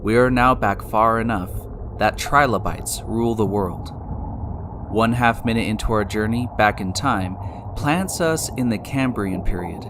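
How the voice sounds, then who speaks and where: A man hosting and narrating a scripted science story in a deliberate, explanatory way, speaking directly to the listener. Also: fairly low pitch, background noise. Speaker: podcast host and narrator. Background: music.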